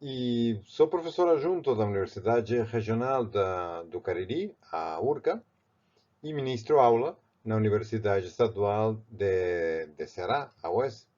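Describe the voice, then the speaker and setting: A man from Barcelona speaking with a Spanish accent, teaching a painting technique in a halting way, with many restarts. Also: lowish voice, expressive, darkish tone, dull audio. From Spain, Barcelona. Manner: halting, with frequent restarts and fillers. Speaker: art teacher. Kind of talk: step-by-step art tutorial.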